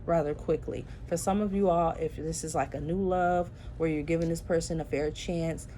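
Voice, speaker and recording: A woman's voice: low voice, steady tone, moderate pace, mellow, a little hiss or hum. A tired, sleepy-sounding woman giving a chatty tarot reading and talking straight to her viewers, with plenty of fillers. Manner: chatty, addresses viewers as y'all, many fillers. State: sleepy, tired early morning. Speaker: tarot reader.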